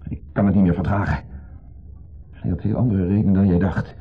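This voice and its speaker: An actor playing an insecure, jealous husband in a 1970s radio play, accusing, brooding and sardonic. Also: male voice, deep voice, fairly even intonation, fairly quick, slightly rough. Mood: bitter, agitated. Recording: muffled phone-like old radio recording.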